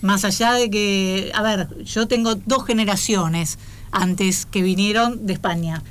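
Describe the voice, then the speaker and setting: An Argentine woman co-hosts a talk radio show in a chatty, easygoing way. She circles back and repeats herself as she goes. A faint hiss sits under her mid-pitched voice. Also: fairly even intonation, measured pace. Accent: Argentine Spanish. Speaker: radio co-host.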